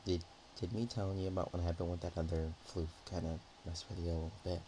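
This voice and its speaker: A man playing a naive, nervous, affectionate dragon in a roleplay ASMR, talking in a hesitant, rambling, halting way. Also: low voice, little pitch movement, noisy background. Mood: puzzled, innocent.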